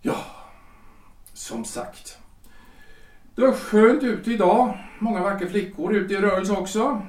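Gustav, a man acting a high-voiced, rambling politician husband. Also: a little mellow, recorded from a distance, natural voice. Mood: teasing, rambling. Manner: hesitant, rambling, stammering, self-satisfied. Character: Gustav, chatty, self-important 43-year-old lawyer-politician husband. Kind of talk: period stage-play audio drama. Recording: echoey room, off the mic.

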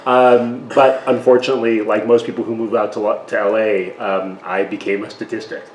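A man's voice: lowish voice, little pitch movement, brisk, a little mellow, roomy sound, a little hiss or hum, rough recording. A man born in Taiwan, guest on a cooking interview show, talking in a chatty, self-deprecating way with frequent restarts. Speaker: guest, radio station president. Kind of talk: casual conversational interview. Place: home kitchen.

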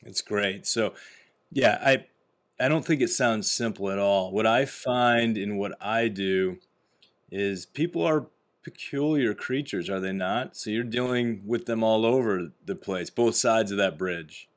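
Low-voiced upbeat male podcast host. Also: measured pace. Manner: upbeat, encouraging interviewer. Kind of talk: interview podcast.

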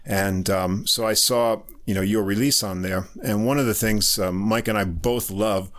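An American man hosting a podcast, asking questions in a relaxed, friendly way with plenty of fillers. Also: fairly low pitch, moderate pace. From United States. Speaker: podcast host. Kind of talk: casual interview talk.

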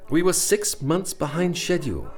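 An actor playing a weary, defensive middle-aged railway director in an audio drama, brusque and insistent. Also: male voice, a little high, wildly swooping pitch, normal speed, gravelly, a little mellow, faint music behind, heavily acted. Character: weary, defensive railway director, husband under wartime pressure.

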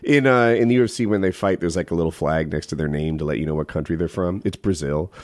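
A man's voice: low voice, quick pace, dry recording, studio quality. A man casually presenting a clip and chatting as a podcast co-host.

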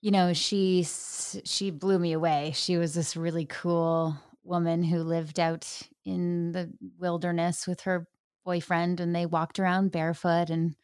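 Woman host, lowish voice, dry close sound, eager storyteller. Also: little pitch movement, measured pace, smooth, no room sound. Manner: enthusiastic, warm storytelling with fillers. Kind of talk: casual interview chat.

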